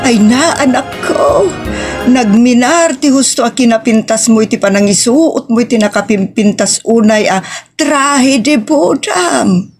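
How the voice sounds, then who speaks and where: Actress playing a slow, high-voiced sorrowful Ilocano woman. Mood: tender, heavy-hearted. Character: sorrowful bride and her anxious, doting mother. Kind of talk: Ilocano audio drama acting. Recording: music bed under the voice.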